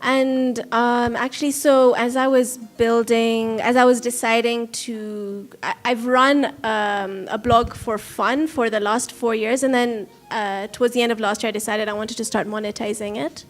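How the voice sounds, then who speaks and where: A woman from Kenya with an accent she describes as a mix introduces herself from the audience. Her voice sits a little higher than usual, and she talks hesitantly, with frequent ums as she gathers her thoughts. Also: fairly even intonation, unhurried, hardly any pauses. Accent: mixed. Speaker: audience member, travel blogger. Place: theatre hall at a panel discussion.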